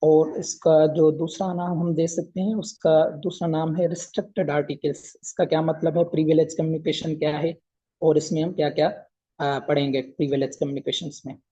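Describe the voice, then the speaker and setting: A man lectures in a fairly high but very even voice. The tone is husky and mellow. He explains informally and haltingly, repeating points and switching to English for technical terms. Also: rough recording. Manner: mixes English legal terms into speech. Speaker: law lecturer.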